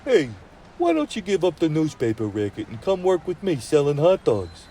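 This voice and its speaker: A gruff young hot dog vendor in a storybook tale, all tough street slang, with a dark, mellow voice that rises and falls a lot, as a man puts him on. He talks plainly and warmly under the rough edge. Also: fairly high pitch, sing-song, moderate pace, a little hiss or hum. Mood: eager.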